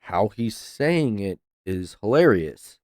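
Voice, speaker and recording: A man's voice: lowish voice, big pitch swings, slow, darkish tone, no room sound, studio quality. A man hosting a reaction video, talking casually with loose swearing and laughs. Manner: laid-back, swears freely, laughs.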